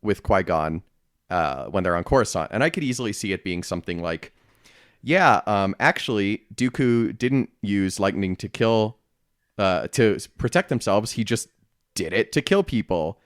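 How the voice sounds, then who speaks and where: Low-voiced man in analytical chat, close and dry. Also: lively intonation, dry recording. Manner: halting, self-correcting, explanatory. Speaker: podcast co-host. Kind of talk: casual analytical discussion.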